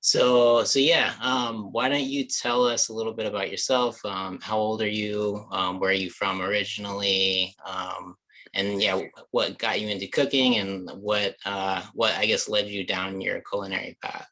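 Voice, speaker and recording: A man's voice: lowish voice, fairly even intonation, moderate pace, bright, forceful tone, low-quality recording. A man in his thirties hosting a casual podcast interview, chatting in an informal, slangy way. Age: 30s. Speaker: podcast host.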